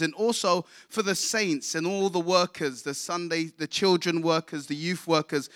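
Casting: male guest preacher from England, high forceful voice, declamatory sermon delivery, prompts the congregation to echo him. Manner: declamatory, calls on congregation to repeat. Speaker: visiting preacher.

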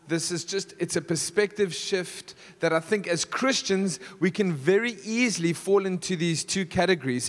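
Male pastor, husky and fairly high, casual asides, punchy emphatic runs; church reverb. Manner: conversational, self-deprecating, builds to emphatic lists. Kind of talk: sermon. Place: church hall. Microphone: handheld stage mic.

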